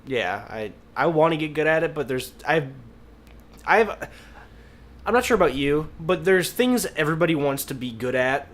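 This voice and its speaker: A man's voice: on the high side, expressive, moderate pace, fairly bright tone. A man co-hosting a podcast, telling rambling anecdotes in casual chat and swearing freely. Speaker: podcast host. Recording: noticeable background noise.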